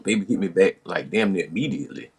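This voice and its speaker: A man's voice: low voice, quick pace, husky. An American man hosting a podcast, casually telling stories from his younger days in slangy, profane talk, acting out the dialogue as he goes. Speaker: podcast host.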